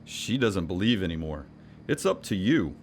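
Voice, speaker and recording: A man playing a kindly, gently commanding old Santa Claus in an audio drama, reassuring and quietly authoritative. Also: low voice, very animated, moderate pace, a little hiss or hum. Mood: encouraging.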